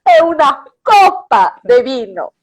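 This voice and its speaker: A Brazilian woman from São Paulo with a São Paulo accent, a Spanish teacher hosting a casual interview, animated and given to laughing asides. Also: high-pitched, slow. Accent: São Paulo Brazilian Portuguese.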